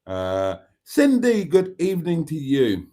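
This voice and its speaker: A man's voice: fairly high pitch, huge pitch swings, slow pace, dark tone. An older man from Wales, Welsh his first language, hosting a casual live stream, chatting in a rambling, wry way as he reads out viewer comments. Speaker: livestream host.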